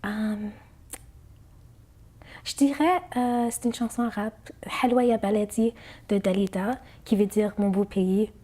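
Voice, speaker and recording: A woman's voice: mid-range voice, fairly even intonation, unhurried, pauses often, mellow. A woman singer whose first language is Arabic, answering interview questions in a hesitant way, with many fillers and restarts.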